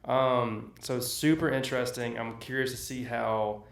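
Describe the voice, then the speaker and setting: A man co-hosting a casual podcast, husky today, jokey and quick with asides. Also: mid-range voice, fairly even intonation, far from the mic. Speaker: podcast co-host. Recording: echoey room, a bit off mic.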